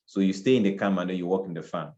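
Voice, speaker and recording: A low-voiced man from Cameroon, talking as an interview guest. He speaks reflectively and casually, often circling back and repeating phrases as he looks for the right words. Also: fairly even intonation, fast, dark tone, highs cut off.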